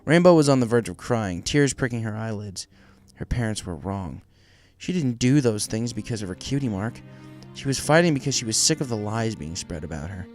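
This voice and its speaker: A man's voice: fairly low pitch, animated, moderate pace, soft background music. A man reading a story aloud as scripted narration. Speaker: fan fiction reader.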